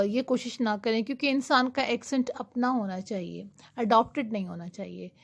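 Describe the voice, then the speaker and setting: A young woman teaching IELTS speaking tips, giving her English tips slowly and deliberately between quicker explanations. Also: mid-range voice. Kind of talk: explanatory lesson talk.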